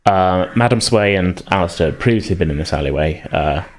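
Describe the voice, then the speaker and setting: Hesitant low-voiced male game master. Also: lively intonation, a little fast. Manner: hesitant, heavily hedged, piecemeal phrasing. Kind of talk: improvised tabletop game narration.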